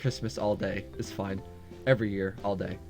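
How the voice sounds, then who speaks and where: A man's voice: sits low, flat delivery, moderate pace, darkish tone. A man reading a visual novel aloud as a gaming video host, with casual asides and the odd stumble over words. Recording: music behind the voice. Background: game background music.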